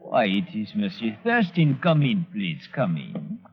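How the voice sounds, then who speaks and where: Effusive French hotelier, low lively voice, French accent, vintage radio drama. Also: male voice, unhurried, over music. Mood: welcoming. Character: courteous, excitable Frenchman, a hotel keeper. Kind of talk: 1940s radio acting. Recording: dull vintage radio recording.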